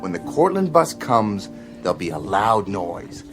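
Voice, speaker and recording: An actor playing a gruff, impatient bus depot ticket clerk in a radio drama, curt and sardonic. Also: male voice, lowish voice, sing-song, unhurried, music in the background. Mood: irritated, sarcastic.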